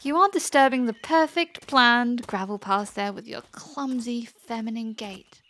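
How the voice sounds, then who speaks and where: A woman's voice: on the high side, a little slow, a bit bright. A woman playing a taunting, mischievous, spoiled young princess in a fantasy audio drama, theatrical and sarcastic. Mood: mocking, teasing.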